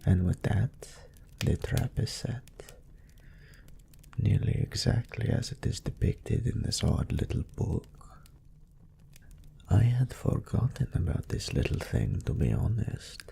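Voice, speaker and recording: A man playing a smug, lustful demon incubus in an audio roleplay, musing languidly and sardonically in a gravelly, breathy voice. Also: low voice, big pitch swings, a little slow, pauses often, warm tone, whispered, full character voice. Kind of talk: scripted character monologue in audio roleplay.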